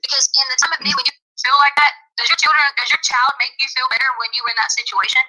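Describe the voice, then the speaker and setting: A woman's voice: high-pitched, fairly even intonation, very fast, sharp tone, low-quality recording. A woman in a casual but argumentative phone conversation, insistent and questioning. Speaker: caller in a private phone call.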